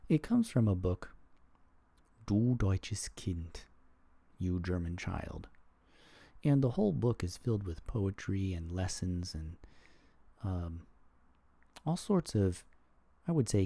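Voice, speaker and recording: Low, mellow-voiced man hosting a podcast. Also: vocal fry, dry recording. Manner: thoughtful, searching for words. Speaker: podcast host. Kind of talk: reflective podcast monologue.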